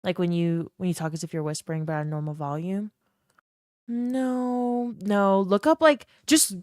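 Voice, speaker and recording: A woman streamer, chatting casually and rambling, with a slight vocal fry at the ends of her phrases. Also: fairly low pitch, no echo, clean studio sound. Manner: chatty, rambling, laughs often, thanks viewers by name. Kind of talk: casual live-stream chat.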